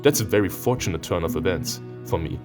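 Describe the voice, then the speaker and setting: A man with a fairly low, very animated voice reads a visual novel aloud. He is playful and expressive, dropping casual, sweary reactions between narrated passages. Music plays behind him. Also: a little fast. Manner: expressive, playful, profane asides. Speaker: let's player.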